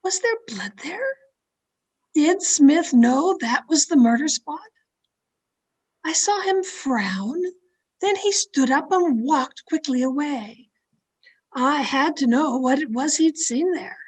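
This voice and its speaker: Actress as high-voiced, secretive radio mystery murderess. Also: female voice, lively intonation. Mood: tense, brooding. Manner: deliberate, dramatic, suspenseful delivery. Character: Carola Winter, tense, secretive woman narrating her own crime. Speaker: actor in an online radio play performance. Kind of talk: 1940s radio mystery acting, first-person narration. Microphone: video call. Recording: noisy home video-call recording.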